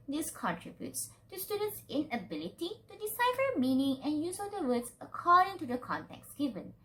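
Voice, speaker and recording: A woman's voice: sits high, low-quality recording. A young Malaysian woman reading a scripted student presentation in a formal, careful manner.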